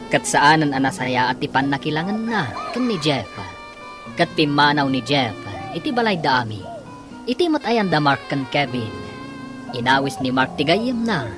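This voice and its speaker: Low-voiced Filipino woman announcer, lively intonation, over music. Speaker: radio drama announcer. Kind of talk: scripted dramatic radio narration and credits. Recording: music bed behind the voice. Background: background music.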